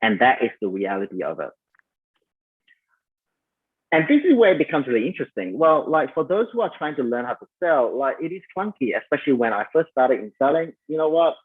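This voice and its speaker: A man's voice: mid-range voice, very animated, a little fast, slightly rough. Malaysian-accented man on a tinny line. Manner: conversational, many fillers, addresses listeners as "you guys". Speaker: sales coach. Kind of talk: online summit talk.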